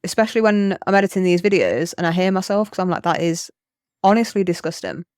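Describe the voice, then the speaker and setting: Croaky hoarse young woman with a cold. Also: fairly low pitch, quick pace, a little mellow. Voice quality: naturally croaky voice. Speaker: podcast co-host. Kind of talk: casual chat.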